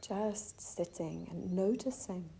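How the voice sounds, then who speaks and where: Slow, mellow woman guiding meditation. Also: on the low side, vocal fry. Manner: gentle, measured, with long pauses. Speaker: meditation teacher. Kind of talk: guided meditation. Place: retreat venue. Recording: faint background ambient noise.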